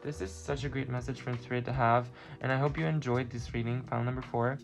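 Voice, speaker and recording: Non-native English card reader with a gravelly voice and a halting delivery full of fillers, over clear background music. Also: mid-range voice, steady tone, low-quality recording. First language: not English. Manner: halting, many fillers, searching for words. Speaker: tarot and oracle card reader. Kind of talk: pick-a-card spiritual reading.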